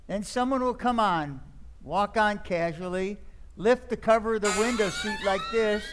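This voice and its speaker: A man playing an excitable, sardonic drama critic nephew in an old-time radio play. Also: sits high, normal speed. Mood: breezy, wry. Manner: stammering when flustered.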